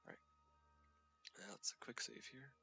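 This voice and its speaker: A man's voice: moderate pace, pushed and bright, faint music behind. A young man with a cold, sounding sniffly and stuffy, casually talking through a space game with lots of hesitant fillers. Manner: rambling, hesitant, many fillers. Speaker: gaming channel host. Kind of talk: casual gameplay commentary.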